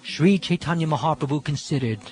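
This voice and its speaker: A man reads devotional scripture as dramatic narration, deliberate and expressive, drawing out the ends of phrases. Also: fairly high pitch. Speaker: scripture reader. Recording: sitar music behind the voice. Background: sitar music.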